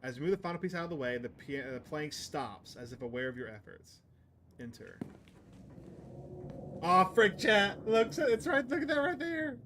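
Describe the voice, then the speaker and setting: A man's voice: fairly high pitch, big pitch swings, normal speed, bright, forceful tone, slight background noise. A man giving casual game commentary as a streamer, muttering half-thoughts and reading on-screen story text aloud. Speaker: streamer playing a visual novel.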